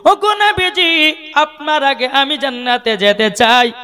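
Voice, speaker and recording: A man voicing a devoted, humble disciple who pleads with his prophet in a drawn-out, melodic lament within a sermon story. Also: sits high, steady tone, sharp tone, smooth, soft background music. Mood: humble pleading.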